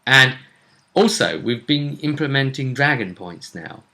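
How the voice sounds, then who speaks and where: Man, bright forceful tone, medium pitch, game master, casual recap, measured storytelling with pauses. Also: expressive, vocal fry.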